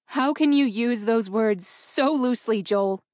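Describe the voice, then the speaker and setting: A woman's voice: a little high, unhurried, a little mellow, no echo, close to an everyday voice. In a dry recording, an anguished wife acted out by a woman narrator confronts her husband in audiobook dialogue. She is accusing and emotional, demanding the truth with open hurt and anger. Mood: hurt disbelief.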